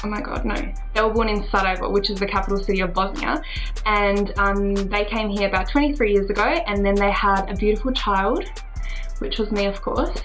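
An Australian woman in her early twenties, whose first language is Serbo-Croatian, talks casually to the camera in a room at home. Her voice sits at an average pitch for a woman. Music plays along behind her as she chats like a vlogger introducing herself. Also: fairly even intonation, fairly quick, a bit bright, rough recording. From Adelaide, Australia. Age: early 20s. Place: small furnished room at home, indoors.

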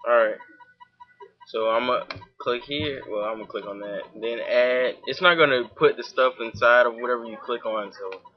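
A young man from Georgia with a Southern accent, talking casually through a software tutorial at his home computer, full of fillers. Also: mid-range voice, moderate pace, dull audio. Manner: informal, many fillers, talks while clicking. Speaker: tutorial host.

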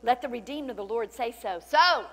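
A middle-aged woman pastor preaching a sermon with fervent praise and scripture reading, her voice slightly cracking from loudness. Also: a little high, animated, moderate pace. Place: large church stage indoors. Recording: long hall reverb, faint hum.

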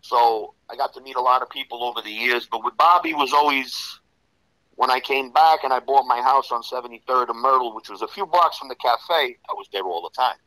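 Bright-voiced New York Italian-American man. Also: mid-range voice, a little fast, dry recording, low-quality recording. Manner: rambling, heavy with "you know" fillers. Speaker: interview guest. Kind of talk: casual storytelling interview.

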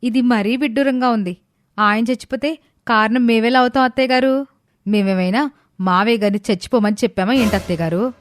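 A woman playing a sharp-tongued, spiteful daughter-in-law who speaks with sarcasm and scorn. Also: fairly high pitch, brisk, no echo, natural voice. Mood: scornful.

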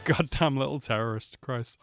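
A man's voice: mid-range voice, normal speed, creaky, a bit bright. A man in his twenties giving casual reaction commentary into a close microphone. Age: 20s. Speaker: reaction video host. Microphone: close desk microphone. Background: game trailer music.

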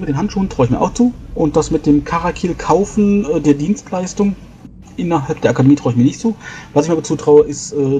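A man with a mellow, relaxed voice talks through plans as a tabletop role-player. He explains in a rambling, improvised way, over clear background noise. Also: on the high side. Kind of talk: in-character planning talk, improvised.

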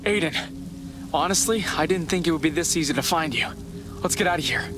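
Earnest, higher-voiced young man played by a male actor. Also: a little fast, music in the background, lightly acted. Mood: concerned. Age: young adult. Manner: earnest, insistent, pleading. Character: earnest, frustrated young nephew pleading with his uncle. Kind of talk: cinematic game drama acting. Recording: faint ambient noise behind the voice.

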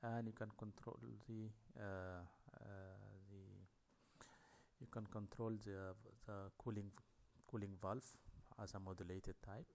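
A man giving a technical lecture over slides, talking in a halting way with many fillers. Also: lowish voice, level tone, gravelly, darkish tone, a little hiss or hum.

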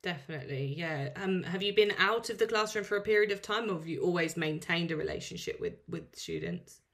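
Low-voiced female podcast host. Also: very animated, a little fast, a bit bright. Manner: friendly, encouraging. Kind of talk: podcast interview.

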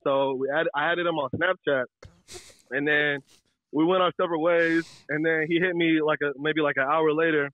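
A man's voice: a little high, fairly even intonation, normal speed, dry recording, phone-quality sound. A young American man, a caller casually telling a personal story in slangy, profane language.

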